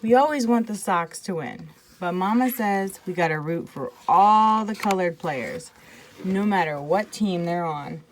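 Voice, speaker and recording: A woman's voice: fairly low pitch, expressive, measured pace, slight background noise. A woman teacher reading a children's picture book aloud expressively, with casual asides to her listeners.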